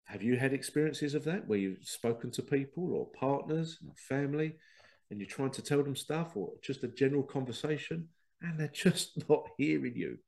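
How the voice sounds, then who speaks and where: A man's voice: mid-range voice, lively intonation, normal speed, gravelly, darkish tone. A man with a head cold and a congested voice, hosting a rambling spiritual talk and often acting out quoted exchanges. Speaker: podcast host. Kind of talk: casual spiritual monologue.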